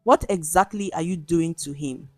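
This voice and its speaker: A woman's voice: sits low, moderate pace, no echo, soft background music. A woman voicing an arrogant, wealthy grown son in a storybook tale, demanding and scornful. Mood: scornful, indignant. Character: arrogant, indignant tall man, wealthy eldest son. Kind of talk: storybook narration dialogue.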